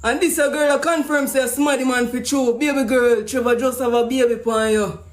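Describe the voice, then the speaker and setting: A Jamaican man with a strikingly high-pitched voice runs a prank call show. He comments in animated Patois, full of exclamations, curses and repeated phrases. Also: brisk. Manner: exclamatory, repeats himself, swears.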